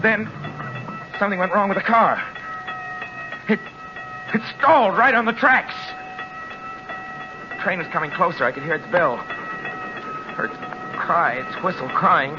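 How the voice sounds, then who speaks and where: An actor playing a frightened lone male motorist in a 1940s radio drama, telling his tale in a halting, anxious way. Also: sits high. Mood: mounting terror. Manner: halting, anxious, stammering under strain. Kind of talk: 1940s radio drama acting, first-person narration. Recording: dull 1940s radio recording, loud music.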